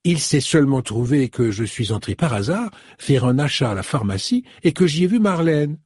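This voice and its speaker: A man's voice: mid-range voice, sing-song, darkish tone, breathy edge, no room sound, studio quality. A man voicing a polite, composed middle-aged woman in an audiobook, in a slightly raised voice. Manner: courteous, measured.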